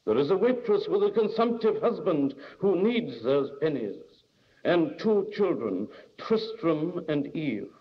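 A man's voice: a little high, sing-song, unhurried, dull audio, pitched above the performer's own voice. A man voicing a brooding, bitter young man in a story, lyrical, scornful and self-dramatising. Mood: melodramatic.

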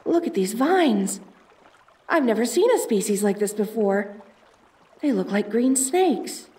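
A woman's voice: fairly high pitch, animated, a little slow, darkish tone. An actress playing a determined young woman treasure hunter in an audio drama, talking to herself in a dramatic way. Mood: curious, wondering. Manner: breathy exclamations, self-coaxing, dramatic. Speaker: audio roleplay voice actor.

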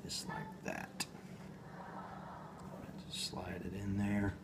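Man, 40s, home cooking host, lowish voice, rambling and deadpan, recorded on a phone in a noisy kitchen. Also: gravelly. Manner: slow, rambling, deadpan, with hesitations. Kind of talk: casual home cooking demo. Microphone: phone camera on the counter.

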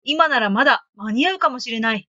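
A woman voicing a kindly male hunter in a storybook reading, calm and concerned. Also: fairly high pitch, brisk, no room sound, dull audio.

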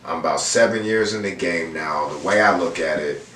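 A man's voice: low-pitched, moderate pace, fairly bright tone, distant mic. A man in his thirties, raised in Houston, talking in a laid-back, slangy way as a guest painter in an interview. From raised in Houston, Texas. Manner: laid-back slangy talk with lots of fillers. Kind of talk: casual interview chat. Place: indoor room, seated on a leather couch. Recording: roomy echo, voice a bit off mic, faint room noise.

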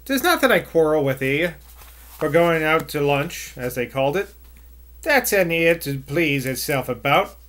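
A shrill, scolding old servant woman rattles on in broad West Country speech, loud and defiant, as a man puts her on. Her voice rides higher and louder than the reader's own, full of stubborn rustic grumbling from a storybook. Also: expressive, measured pace. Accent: put-on West Country rustic dialect. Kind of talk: amateur audiobook reading.

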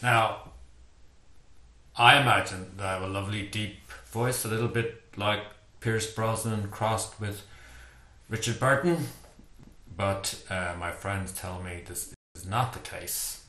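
A man's voice: sits low, steady tone, a little slow, bright, forceful tone. An older Irish man, an author, reading a passage from his book aloud in a playful, self-deprecating storytelling manner at home. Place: home study with bookshelves, indoors. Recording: echoey room.

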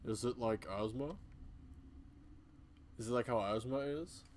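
A man voicing a gentle, earnest big young male bear in a video game, soft-hearted and a little hesitant. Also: medium pitch, a little slow, close to an everyday voice. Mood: concerned. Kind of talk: amateur video game voice-over.

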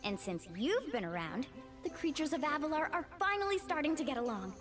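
A woman's voice: sits high, big pitch swings, a little fast. An actress voicing a friendly, earnest young faun woman in a video game. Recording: game audio with music behind.

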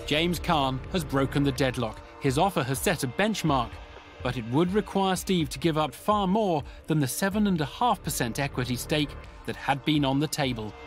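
TV narrator, male, British, dramatic scripted read, slightly raised pitch, music bed. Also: lively intonation, moderate pace.

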